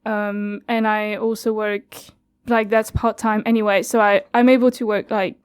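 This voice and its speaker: A woman's voice: medium pitch, level tone, normal speed, clean tone. A French woman in her twenties, chatting casually as an interview guest, with frequent laughs and fillers. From France. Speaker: guest, youth radio studio coordinator.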